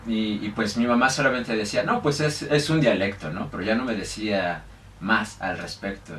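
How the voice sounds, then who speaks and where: A man's voice: lowish voice, moderate pace, fairly bright tone. A young Mexican man with a Mexican accent, talking in a casual interview and hesitating often, with many fillers and restarts. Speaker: guest. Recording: echoey room, mic at a distance, faint noise.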